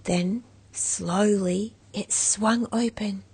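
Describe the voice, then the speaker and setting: A woman reading a children's story aloud as an expressive narrator. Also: medium pitch, extremely slow, breathy edge, no echo. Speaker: storybook reader.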